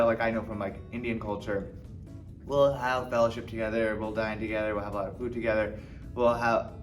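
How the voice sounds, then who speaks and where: Chatty man interviewee from Michigan, lowish voice, a bit off-mic. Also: far from the mic. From Michigan, USA. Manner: chatty, animated storytelling. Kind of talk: casual interview answers.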